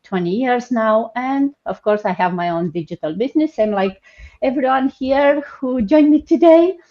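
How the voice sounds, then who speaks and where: Woman host, from Romania, chatty and halting, dull video-call sound. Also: on the high side, lively intonation. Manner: chatty, hesitant, many fillers. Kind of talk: live online panel hosting.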